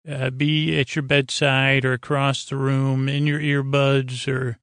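A man hosting a podcast in a rambling bedtime monologue, with a creaky voice and a halting, meandering delivery that stumbles over words. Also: mid-range voice, little pitch movement, fairly bright tone, no echo, clean studio sound. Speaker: podcast host.

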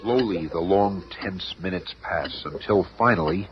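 An actor playing a dramatic radio serial narrator, suspenseful and emphatic. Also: male voice, low-pitched, faint music behind. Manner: slow-building, suspenseful, emphatic. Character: dramatic, portentous male narrator. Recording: muffled, noisy old radio recording.

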